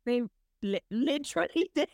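A woman's voice: a little high, lively intonation, moderate pace, a little mellow, dry recording, studio quality. A woman co-hosting a podcast in casual chat, gushing and chatty, full of "like" and the odd swear word. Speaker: podcast co-host.

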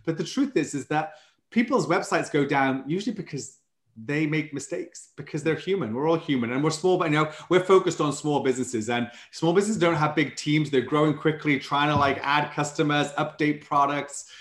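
A man's voice: a little high, a little fast. A man with a Welsh accent, a startup founder speaking as an interview guest, upbeat and fluent as he explains his business. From Wales. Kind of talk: casual interview chat. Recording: roomy echo.

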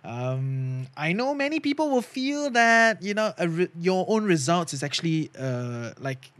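A man's voice: fairly high pitch, fairly bright tone, dry recording. A man from Singapore hosting a solo podcast, talking earnestly and casually, often tagging his sentences with "right?" and "you know". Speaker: podcast host.

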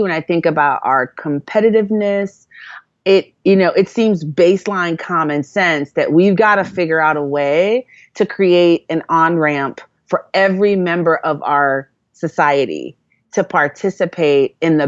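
A woman's voice: sits low, no echo, lo-fi sound. A woman from Jamaica, a guest in an interview, talking earnestly and thoughtfully with many fillers and restarts. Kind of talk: reflective conversational storytelling.

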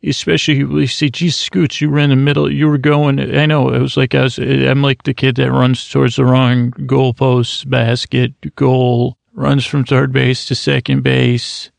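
Gravelly, rambling podcast host man. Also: medium pitch, flat delivery, unhurried, few pauses, no room sound. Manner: meandering, self-interrupting, over-explaining. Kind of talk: rambling sleep podcast monologue. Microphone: close studio mic.

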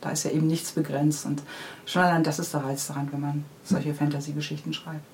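A woman's voice: low voice, level tone, fast, a little mellow, slight background noise. A woman who writes children's books, answering interview questions in an unscripted, conversational way. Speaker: children's book author being interviewed.

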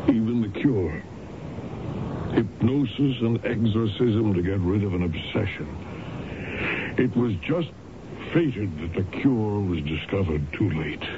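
Radio drama husband: lowish voice, unhurried, solemn yet gentle; noisy vintage broadcast. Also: male voice, expressive, highs cut off. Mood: grieving, resigned. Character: grave, devoted husband, measured and gentle. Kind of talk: old-time radio drama acting.

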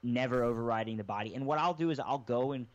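A man's voice: mid-range voice, fast talker. A man explaining things in a rambling, casual way as a podcast guest, often correcting himself mid-sentence. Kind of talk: casual conversation. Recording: slightly dull lo-fi sound.

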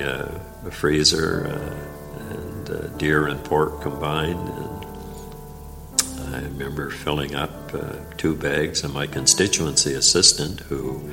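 An older man, a former provincial legislator from Nova Scotia, tells stories from his time in office in a deep voice, halting with many fillers and restarts. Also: gravelly. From Nova Scotia, Canada. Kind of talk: interview storytelling.

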